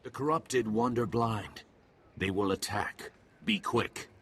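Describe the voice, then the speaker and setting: Husky, creaky alien mystic guide. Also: male voice, fairly low pitch, fairly bright tone, heavily acted. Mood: instructing. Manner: measured, terse, ominous pronouncements. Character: grave male alien mystic guide, cryptic and calm. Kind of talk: video game cutscene acting.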